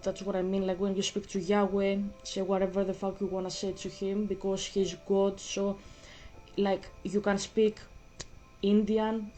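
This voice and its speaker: A young woman, chatting casually and rambling with many pauses and fillers while playing a game. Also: medium pitch, a little mellow, low-quality recording. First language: Greek. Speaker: gaming vlogger. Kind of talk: casual gaming chat.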